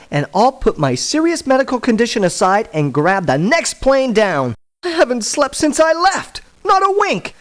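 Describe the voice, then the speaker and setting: An actress playing a nervy, whiny hypochondriac mercenary in a video game, with a fretful, complaining manner. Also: female voice, on the high side. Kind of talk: video game character voice lines.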